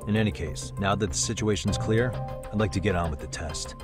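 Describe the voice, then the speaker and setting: A man's voice: fairly low pitch, fairly quick, natural voice. An actor playing an easygoing adult male private detective in a video game dub, casual and dryly understated. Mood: dry, skeptical. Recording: music under the dialogue. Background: music.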